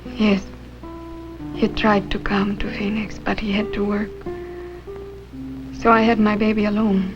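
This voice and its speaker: A woman's voice: mid-range voice, little pitch movement, a little slow, pauses often, close to an everyday voice. An actress playing an earnest, plaintive married woman in a 1950s television drama. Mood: weary, wistful. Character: weary, wistful married woman denying then confessing a secret. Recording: dull 1950s soundtrack, loud music behind.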